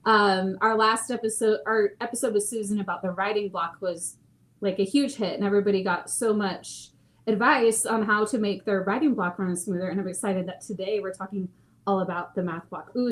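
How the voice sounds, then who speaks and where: A woman hosting a live podcast, bubbly and quick to laugh as she reads out chat comments. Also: mid-range voice, steady tone. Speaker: podcast host.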